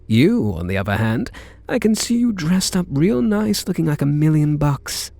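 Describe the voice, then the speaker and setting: A man's voice: fairly high pitch, big pitch swings, vocal fry, dark tone, no room sound. A man with a British accent playing a smitten kidnapper, a sweet-talking gangster, in an intimate ASMR roleplay. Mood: wistful, flirtatious. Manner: slow, hesitant sweet talk with pet names.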